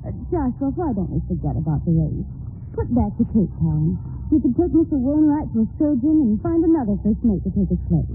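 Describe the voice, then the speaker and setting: An actress playing a devoted, determined young sea captain's wife in an old-time radio drama. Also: female voice, fairly high pitch, big pitch swings, brisk, a little hiss or hum. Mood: concerned, pleading. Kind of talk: 1940s-style radio acting. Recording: tinny old radio recording, music behind.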